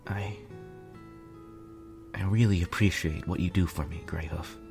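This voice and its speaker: A dutiful winged stallion guard played by a man in a storybook tale. He speaks low and sounds worn but sincere, with faint music underneath. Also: steady tone, a little slow, creaky, darkish tone. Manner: sighing, earnest. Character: weary, dutiful young male pegasus royal guard. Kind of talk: acted dialogue in a story reading.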